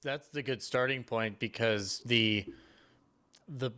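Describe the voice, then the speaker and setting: A man's voice: medium pitch, slow, pushed and bright. A man speaking casually as a panelist, hesitant and often interrupting himself. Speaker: panelist introducing a discussion.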